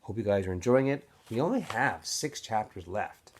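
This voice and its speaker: A man narrating a novel aloud in an expressive storytelling style, as if for students. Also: fairly low pitch, moderate pace, slightly rough. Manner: animated storyteller. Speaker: teacher or school staff reading aloud to students.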